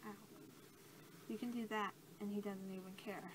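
A young woman doing soft-spoken ASMR roleplay as a scientist, talking in a casual, rambling, hesitant way. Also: medium pitch, steady tone, moderate pace. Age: young adult. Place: small cluttered room indoors. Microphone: camera mic at about arm's length. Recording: clearly noisy amateur camera audio.

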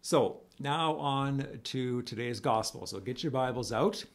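Raspy priest guy, gravelly, chatty homily on camera, middle-aged. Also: mid-range voice. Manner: conversational, animated hand gestures, reads scripture slowly. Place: small room at home.

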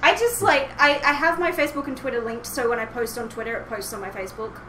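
A woman's voice: fairly high pitch, quick pace. A young woman hosting a podcast as a casual group chat, with a giggly manner. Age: young adult. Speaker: podcast host. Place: home room with shelves and posters, indoors. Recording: roomy, noisy home recording.

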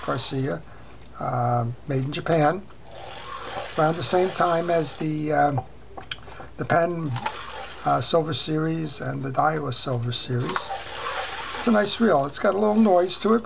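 An older man hosting a fishing reel repair channel, talking casually while showing reels on his workbench, with many "uh" pauses. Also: a little high. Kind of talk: casual show-and-tell. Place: workbench at home. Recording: clear background noise.

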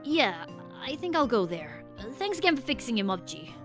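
A woman voicing a caring, earnest penguin secret agent in a cartoon game story, speaking gently and casually. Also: on the high side, big pitch swings, normal speed, over music. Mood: warm, casual.

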